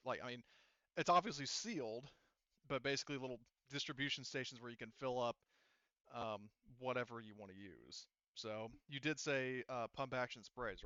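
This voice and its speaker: A man acting as game master for a tabletop roleplaying game, narrating casually and haltingly as he thinks aloud. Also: mid-range voice, pushed and bright, smooth, dry recording, muffled recording.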